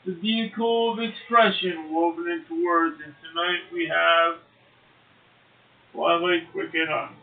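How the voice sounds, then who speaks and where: A man hosting a poetry show, speaking and reading verse in a slow, halting way with drawn-out phrases. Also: fairly high pitch, steady tone, creaky. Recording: tinny phone line, echoey.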